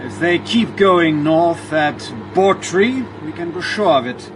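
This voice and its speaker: Villain, slow and deliberate, radio drama, car noise behind. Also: male voice, fairly high pitch, expressive. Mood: cold, calculating. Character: menacing male villain pursuing by car. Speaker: villain named Pierre.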